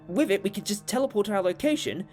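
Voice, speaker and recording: A man acting a brisk, upbeat time-travelling alien adventurer in a fan audio drama, playful with hesitant asides. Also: sits high, lively intonation. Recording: loud music behind.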